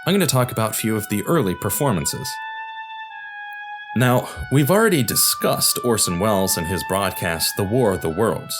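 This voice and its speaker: A man's voice: fairly low pitch, animated, normal speed, music in the background. A man hosting a podcast, reading scripted narration with wry, informal asides. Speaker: podcast host.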